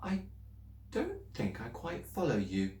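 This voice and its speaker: Man voicing a kind schoolteacher, gentle, patient, softly questioning, roomy echo. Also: mid-range voice, wildly swooping pitch, slow, voice pushed up. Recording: roomy, distant mic.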